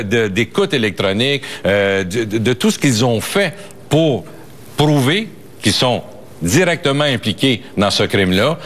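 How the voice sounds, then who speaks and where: A man's voice: medium pitch, fairly bright tone. A man with a Quebec French accent giving emphatic, unscripted opinions as a police and legal affairs commentator, often repeating words as his thought builds.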